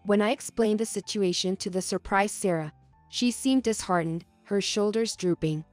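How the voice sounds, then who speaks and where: A woman narrating a heartfelt story in a calm, even way. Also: medium pitch, moderate pace, dry recording, faint music behind.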